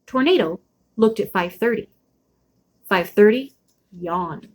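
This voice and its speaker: Woman reading aloud with very lively pitch. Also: slow pace. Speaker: storybook read-aloud host. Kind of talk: expressive read-aloud of a children's chapter book.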